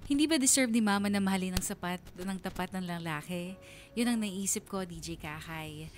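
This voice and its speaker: A woman's voice: fairly low pitch, a little fast, music in the background, close to an everyday voice. An actress playing a grown daughter narrating her story on Filipino radio, sincere, reflective and admiring. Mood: earnest, reflective. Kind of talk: first-person narration to a radio host.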